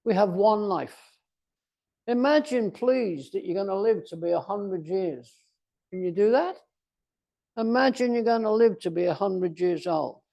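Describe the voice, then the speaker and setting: High-pitched, creaky-voiced elderly man giving a speech. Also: mellow. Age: about 80. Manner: theatrical, emphatic, with deliberate pauses and repetition. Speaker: motivational speaker. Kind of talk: motivational speech to a club audience.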